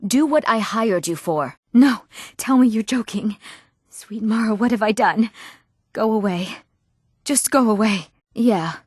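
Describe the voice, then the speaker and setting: An actress playing an eager, earnest young townswoman in a fantasy video game, with clear, expressive scripted delivery. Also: a little high, unhurried, no echo, clean studio sound. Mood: irritated. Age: young adult.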